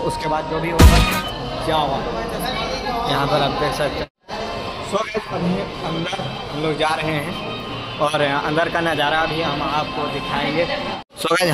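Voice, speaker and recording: Young Jharkhand man vlogging over loud music. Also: a little high, low-quality recording. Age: 20s. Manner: addresses viewers directly while walking around. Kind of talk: casual walk-through vlog narration. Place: large indoor mall and cinema lobby. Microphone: phone at arm's length. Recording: loud music over phone vlog audio.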